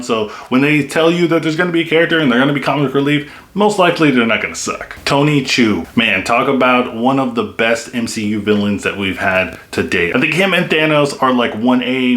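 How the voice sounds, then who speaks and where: A man's voice: medium pitch, fairly bright tone. A young man giving a casual, enthusiastic film review, with lots of "like" and "you know" fillers. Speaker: movie reviewer. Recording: echoey room.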